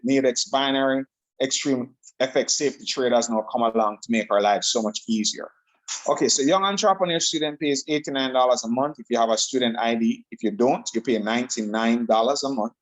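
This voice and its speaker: A man with a Jamaican accent giving an enthusiastic, fast-talking sales pitch on a video call, repeating himself for emphasis. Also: low-quality recording. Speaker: online sales presenter.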